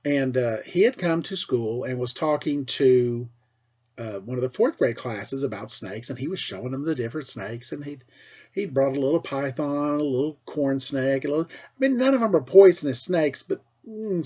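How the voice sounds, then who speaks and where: A middle-aged American man, a teacher with a husky voice, tells casual personal stories for children in an animated way, acting things out as he goes. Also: mid-range voice, moderate pace, dull audio. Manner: animated, acts out dialogue and sound effects. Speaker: school teacher telling stories to pupils.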